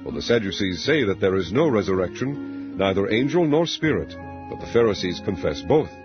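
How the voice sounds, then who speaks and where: Male scripture narrator, lowish pitch, grave and deliberate, dramatic reading style; dull lo-fi sound. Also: sing-song. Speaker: Bible narrator. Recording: dull lo-fi audio with music underneath. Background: background music.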